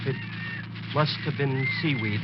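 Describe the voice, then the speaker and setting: An actor playing a commanding, skeptical doctor with a firm, dramatic delivery in 1940s radio drama style. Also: male voice, medium pitch, a little slow, lightly acted. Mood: grave, dismissive. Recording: muffled, very noisy 1940s radio recording.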